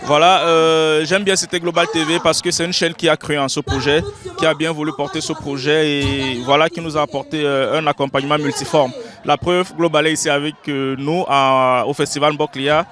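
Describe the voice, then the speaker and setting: A man, a film director, answering an interview outdoors at a festival in a proud, even tone with some hesitations. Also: fairly high pitch, normal speed. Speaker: series director.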